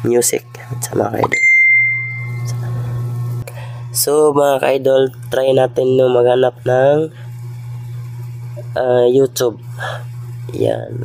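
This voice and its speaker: A man's voice: medium pitch, warm tone. A man giving a casual hands-on vlog tutorial, informally addressing his viewers as he goes. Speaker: vlogger demonstrating a gadget.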